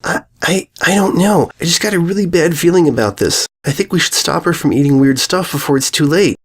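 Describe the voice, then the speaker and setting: A sick young filly from a pony storybook, acted by a male narrator. The voice is weak and scratchy, lifted in pitch, with an anxious, hungry edge. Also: brisk, breathy edge. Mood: alarmed, urgent.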